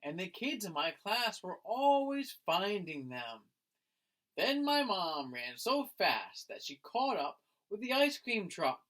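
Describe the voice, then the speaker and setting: Bright-voiced man reading slowly to children. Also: sits high, very animated, low-quality recording. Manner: dramatic, acting out the lines. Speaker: teacher reading a picture book aloud to a class. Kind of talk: expressive children's read-aloud.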